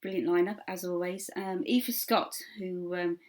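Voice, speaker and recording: A woman's voice: on the low side, level tone, measured pace, a little mellow. A woman co-hosting a show in casual chat, hesitant but friendly and effusive as she introduces performers. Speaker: co-host.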